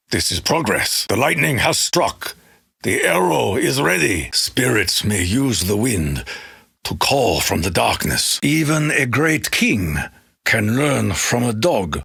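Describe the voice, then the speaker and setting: An actor voicing a solemn, proud Japanese samurai warrior for a video game, with a raspy voice, a Japanese accent and formal, grave phrasing. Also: male voice, lowish voice, a bit bright, no room sound, studio quality, heavily acted. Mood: reverent, grave. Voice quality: raspy, gruff. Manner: formal, grave, proverb-like phrasing with dramatic emphasis. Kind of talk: video game character voice lines.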